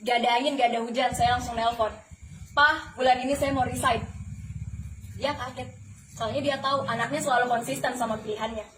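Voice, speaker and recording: A woman's voice: fairly high pitch, steady tone, a little fast, fairly bright tone. A woman in her twenties giving a motivational testimonial to an audience, speaking emphatically with rhetorical questions and repetition. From the Philippines, Malaysia and Indonesia. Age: 20s. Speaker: flight attendant giving a testimonial. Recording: echoey, noisy room.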